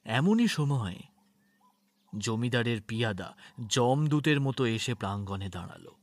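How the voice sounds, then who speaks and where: A man's voice: mid-range voice, big pitch swings, unhurried, a little hiss or hum. A man narrating a scripted story in a dramatic style.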